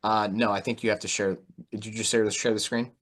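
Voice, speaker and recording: Male podcast host and market analyst, lower register, informal conversational delivery on a video call. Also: flat delivery, quick pace, fairly bright tone. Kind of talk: conversational market commentary and interviewing.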